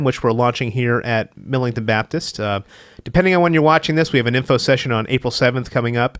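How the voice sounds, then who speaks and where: A man's voice: mid-range voice, a bit bright, no room sound. A man hosting an interview podcast in a studio, chatty and upbeat with filler uhs. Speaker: podcast host.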